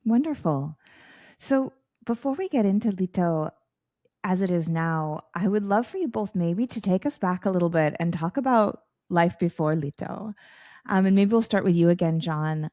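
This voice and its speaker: A woman's voice: lowish voice, animated, normal speed, dark tone, no echo, highs cut off. A woman hosting a podcast interview, welcoming and articulate as she introduces her guests. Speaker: podcast host.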